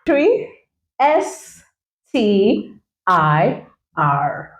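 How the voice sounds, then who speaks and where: A young Kenyan-accented woman hosting a light, teasing chat, spelling out words carefully. She speaks at an unhurried pace with a middle pitch, and her voice carries a roomy echo. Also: sing-song. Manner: teasing, spells words slowly, laughs often. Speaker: host. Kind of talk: playful casual chat.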